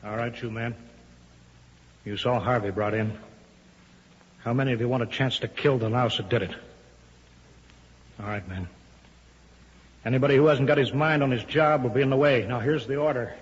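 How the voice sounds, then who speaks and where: Chief engineer, male actor, medium pitch, urgent clipped authority, vintage radio acting, muffled recording. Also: breathy edge, natural voice. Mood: grim, commanding. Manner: brisk, clipped, commanding. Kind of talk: 1950s radio drama acting.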